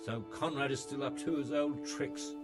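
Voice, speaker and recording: An actor playing a brusque, shady medieval scribe in a video game, impatient and businesslike. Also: male voice, mid-range voice, fairly even intonation, moderate pace, music in the background, natural voice. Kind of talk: video game cutscene acting.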